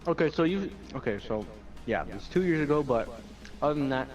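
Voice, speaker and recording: A man's voice: a little high, animated, a little fast, soft background music, rough recording. A young man casually telling anecdotes to a friend, rambling, slangy and full of swearing. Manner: slangy, profane, loose and rambling.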